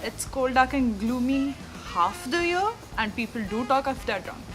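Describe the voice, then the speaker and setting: A woman's voice: high voice, lively intonation, moderate pace, over loud music. A young woman from India, a student answering interview questions in a wry, good-humoured way. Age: young adult.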